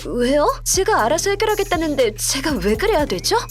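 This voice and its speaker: A woman's voice: high voice, sing-song, fairly quick. A woman playing a cornered, earnest young female office worker in a Korean audio drama, polite and anxious. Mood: polite, resistant. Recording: background music under the voice.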